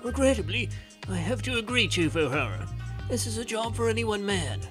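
Casting: man as resolute commissioner, formal, dramatic, fairly high voice, comic dub. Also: sing-song, brisk, over music. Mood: grave. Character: grave, resolute male police commissioner. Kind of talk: comic dub character acting.

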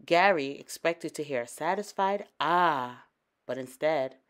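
A woman storyteller reading a children's picture book aloud with expressive, dramatic emphasis. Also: sits low, normal speed.

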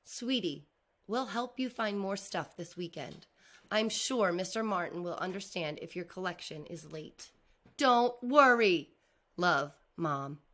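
A woman's voice: on the low side, expressive, measured pace, bright, forceful tone. A woman reading the part of a caring, practical mother in a children's storybook, in a helpful manner. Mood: reassuring.

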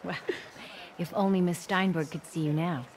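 A woman's voice: lowish voice, fairly even intonation, normal speed, a little mellow, a little hiss or hum, lightly acted. A woman playing a supportive middle-aged wife and mother in a video game. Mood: reassuring.

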